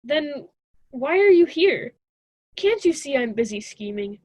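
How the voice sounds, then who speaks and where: A woman's voice: sits high, very animated, a little slow, darkish tone, dry recording. A young woman playing a self-important, scheming college student in a radio drama, with a grandiose theatrical delivery. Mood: haughty. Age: young adult.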